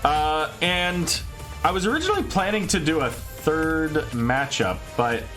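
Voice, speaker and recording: A man casting an esports match alone, calling plays quickly and then chatting loosely, his voice tired and a little raspy late at night. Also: a little high, soft background music. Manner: quick excited calls, then loose rambling sign-off. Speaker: esports caster. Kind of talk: solo esports play-by-play commentary.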